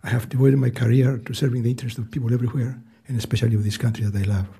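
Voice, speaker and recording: An eighty-year-old man with a Greek accent, earnestly reading prepared testimony at a hearing in a soft, weak voice, halting and restarting now and then. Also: medium pitch, steady tone, gravelly, breathy edge. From Greece. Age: 80s. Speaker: hearing witness. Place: hearing room.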